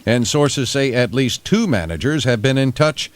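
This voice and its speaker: An American man reading scripted radio news, dropping in deadpan asides. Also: medium pitch, normal speed. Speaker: radio newscaster. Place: radio studio.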